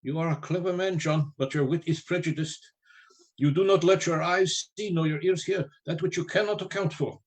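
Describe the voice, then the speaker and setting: A man plays a solemn, learned professor in a radio drama. His voice sits a little high, and he speaks formally and deliberately, persuading with rhetorical questions. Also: lightly acted. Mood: probing. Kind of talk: radio play acting.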